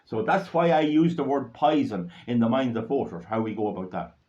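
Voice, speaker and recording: A man's voice: mid-range voice, expressive, brisk, a little mellow. An Irish man giving a blunt, rambling political talk to supporters. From Ireland. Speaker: political activist. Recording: dull lo-fi recording.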